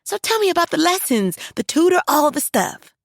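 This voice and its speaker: A woman's voice: high voice, very animated, normal speed, creaky, dry recording, studio quality, voice pushed up, heavily acted. Woman doing a gushing, squealing grown daughter. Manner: squealing, teasing, emotional.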